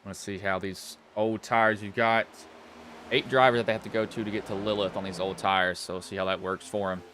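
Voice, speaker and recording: A man with a Southwest Virginian accent calls an online race in a low voice. He drifts between play-by-play and muttering to himself, and he often stops to correct his own words. A faint hum runs under the recording. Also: fairly even intonation, fairly quick, fairly bright tone. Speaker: sim racing commentator. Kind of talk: play-by-play racing commentary.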